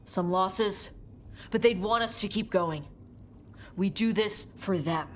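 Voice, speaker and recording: A woman playing a blunt, brash young warrior with fire magic, talking gruffly and casually in a video game cutscene. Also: medium pitch, slow, slight background noise, lightly acted. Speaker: party companion.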